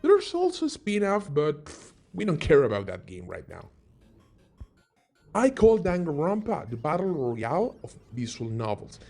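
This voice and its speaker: A man narrates a scripted video as its host, his voice a little high and swinging widely in pitch. He leans on key words and slips in playful asides. Soft music plays behind him. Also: huge pitch swings, moderate pace. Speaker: video host presenting game recommendations. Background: background music.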